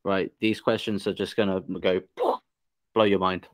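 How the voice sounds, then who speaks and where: A man's voice: low-pitched, level tone, measured pace. A man with an English accent hosting a podcast, chatting casually, joking and laughing often as he asks teasing questions. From England. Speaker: podcast host. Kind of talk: casual interview chat.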